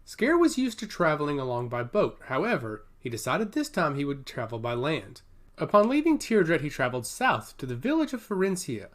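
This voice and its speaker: A man's voice: on the high side, huge pitch swings, a little fast, fairly bright tone. A man reading a scripted fantasy story aloud as its narrator.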